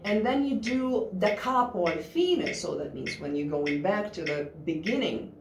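A woman's voice: on the low side, expressive, a little slow, gravelly, noisy background. A woman in her thirties teaching piano in a casual, chatty way, thinking aloud with fillers. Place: studio room with a grand piano. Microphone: distant camera mic. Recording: roomy, distant mic with piano.

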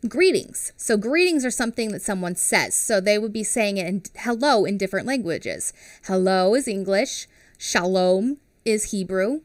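A woman's voice: mid-range voice, no room sound, clean studio sound. A woman in her thirties, a teacher reading a picture book aloud to young children on a headset mic and stopping to explain things in simple words. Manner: animated and expressive, explaining slowly to young kids. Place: small home studio with a classroom wall behind her.

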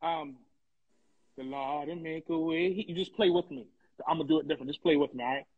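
High-pitched young American man, effusive, colloquial, repetitive, casual livestream chat, close phone mic. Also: a little fast, breathy edge, phone-quality sound. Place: indoor room, live video stream. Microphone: phone held close.

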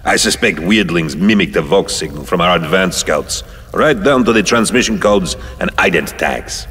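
An actor playing a grim, distrustful battle-scarred space warrior in an audio drama, with a husky voice and a terse, stubborn manner. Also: male voice, sits low, moderate pace, fairly bright tone, full character voice. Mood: suspicious, grim. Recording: long hall-like reverb.

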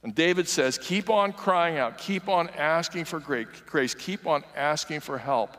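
A man's voice: on the high side, a little slow, fairly bright tone. A man in his sixties giving an earnest, conversational sermon in a large church sanctuary, moving around the stage as he preaches. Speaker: guest preacher. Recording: long reverb of a large church hall.

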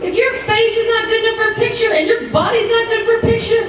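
A woman's voice: very high-pitched, flat delivery, fast talker. A woman in her forties doing a live stand-up comedy routine as a playful storyteller, recorded from a distance on a club stage. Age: 40s. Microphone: handheld mic, captured by far camera. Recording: distant, echoey club recording with audience noise. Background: audience crowd noise and laughter.

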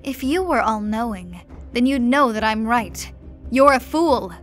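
A woman voicing a defiant male vampire duke in chains, shouting scornfully at his captors in an audiobook. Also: a little high, moderate pace, soft background music, close to an everyday voice. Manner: loud, shouting, scornful laughter.

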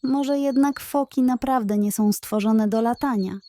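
A woman's voice: on the high side, measured pace, mellow, close to an everyday voice. A woman voicing a small, young female seal in a children's bedtime story, dreamy, timid and easily discouraged. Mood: resigned.

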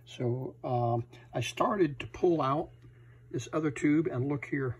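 Husky middle-aged American man. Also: a little high, slow pace. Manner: hesitant, thinking aloud as he works. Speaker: host of a vintage radio repair channel. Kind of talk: casual hands-on repair demonstration. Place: indoor workshop with electronics benches.

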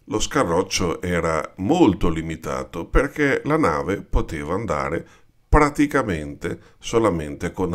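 A man with an Italian accent, explaining yacht design in an unhurried, informal voice-over over pictures. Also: low voice. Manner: unhurried and explanatory, addresses viewers with "vedete".

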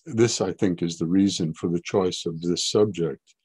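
Older male art historian on a webcam: low and dry, measured, explanatory delivery. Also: a little mellow, slightly rough, dry recording. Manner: measured, explanatory, occasional hesitations. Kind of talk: online gallery talk. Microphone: webcam on video call.

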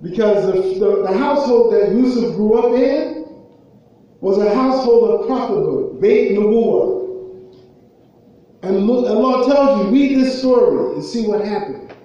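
High-pitched American preacher in an echoey hall. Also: male voice, warm tone. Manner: emphatic, repeating phrases, with pauses. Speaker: preacher giving a Friday khutbah. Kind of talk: sermon. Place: indoor prayer hall, at a raised pulpit. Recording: muffled, very echoey hall, far from mic.

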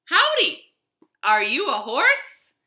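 A bright, eager cowboy calls out each greeting high and loud, as a woman puts him on. The voice is sharp and forceful. It rings in a roomy space. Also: huge pitch swings, slow pace, heavily acted. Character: eager cheerful cowboy man. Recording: roomy echo.

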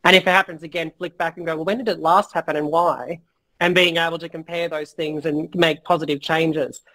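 A man guesting on a business interview, talking frankly and casually with many fillers and the odd swear word, his voice going a little hoarse. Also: fairly high pitch, level tone, fairly quick, sharp tone, rough recording. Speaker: guest entrepreneur.

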